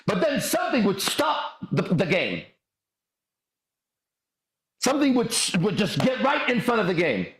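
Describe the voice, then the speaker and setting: A man from Iran hosts a livestream podcast with an animated, swooping voice pitched a little high. He talks in emphatic, profane bursts with long, drawn-out pauses. The room around him rings with echo. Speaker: podcast host. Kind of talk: livestream motivational storytelling.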